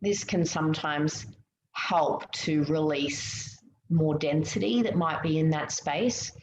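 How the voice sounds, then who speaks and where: A woman leading a guided meditation in a soothing, gentle way, giving unhurried instructions with pauses. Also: deep voice. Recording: muffled, roomy, noticeable background hiss.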